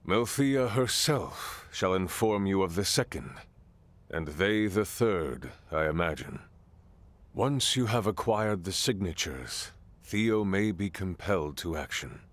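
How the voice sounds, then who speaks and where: Casting: solemn game king, low voice, slow stately delivery, expressive swings in tone. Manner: formal, stately, deliberate phrasing. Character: solemn, regal king and father, measured and authoritative. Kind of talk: video game cutscene acting.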